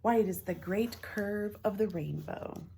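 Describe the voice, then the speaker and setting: A woman voicing a brave young girl in a storybook, answering quietly. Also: mid-range voice, unhurried, creaky, a little hiss or hum. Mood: calm.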